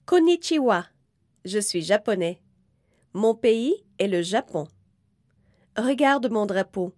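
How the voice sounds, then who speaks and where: A woman reading a children's book aloud slowly and clearly, in careful, evenly spaced phrases. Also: mid-range voice, animated, a little mellow, dry recording. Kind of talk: slow, clear read-aloud for young learners.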